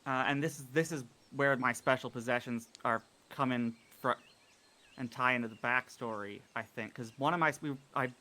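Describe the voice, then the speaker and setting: A man describing his character in a tabletop game session, halting with many fillers and restarts. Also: medium pitch, sharp tone. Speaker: player.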